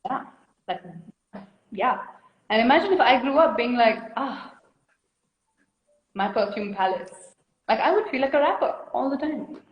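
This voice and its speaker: A woman's voice: a little high, lively intonation, unhurried, pauses often, lo-fi sound. A woman from India in her thirties, a guest artist chatting frankly and at length on a video call, laughing often. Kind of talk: casual interview chat. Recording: echoey room.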